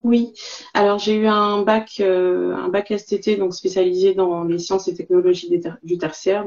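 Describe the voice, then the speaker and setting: A woman's voice: medium pitch, level tone, normal speed, a little mellow. A woman in her forties from southern France, chatting as an interview guest over a lo-fi video call. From Marseille, southern France. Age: 40s. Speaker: interview guest, entrepreneur and school coach. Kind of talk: casual interview conversation.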